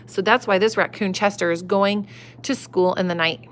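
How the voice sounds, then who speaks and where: Woman storyteller reading to kids at home on a phone camera, expressive, with faint hum behind. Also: medium pitch, moderate pace. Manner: warm, expressive, asks children questions. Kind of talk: reading a picture book aloud.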